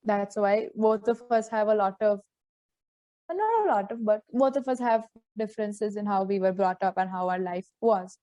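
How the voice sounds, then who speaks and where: A woman's voice: mid-range voice, expressive, moderate pace, warm tone, dry recording, muffled recording. A teenage girl from India with an Indian English accent, hosting a casual podcast and teasing her co-host with dry quips. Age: teens.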